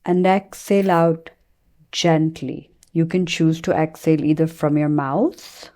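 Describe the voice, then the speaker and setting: Female meditation teacher. Soft-edged warm tone, very slow pacing, gentle and soothing, leaves long pauses. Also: lowish voice. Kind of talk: guided meditation.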